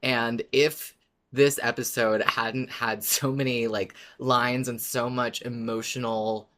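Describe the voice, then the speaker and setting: A man's voice: medium pitch, level tone, slow pace, fairly bright tone. Playful man chatting as podcast co-host. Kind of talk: casual fan discussion.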